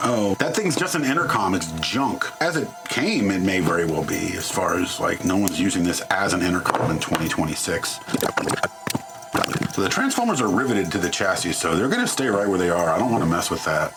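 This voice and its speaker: A man's voice: deep voice, lively intonation, brisk, loud music behind the voice. A man narrating a hands-on electronics teardown in an informal, wry, self-deprecating and mildly crude way. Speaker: host of an electronics teardown channel. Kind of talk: casual hands-on tutorial narration.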